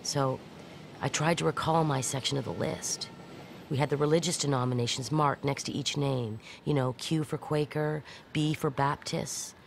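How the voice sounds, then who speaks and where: A woman's voice: deep voice, fairly even intonation, moderate pace, creaky, slight background noise. An actress playing a defiant woman fugitive who recounts her escape in a wry, conversational, matter-of-fact way in an audio drama. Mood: wry, weary.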